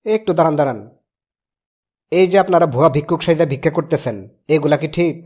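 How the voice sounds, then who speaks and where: Cartoon uncle played by a man: exasperated, reluctant, rapid talker, Bangladeshi accent. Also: a little high, a little mellow, close to an everyday voice. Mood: reasoning. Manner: grumbling, reasoning.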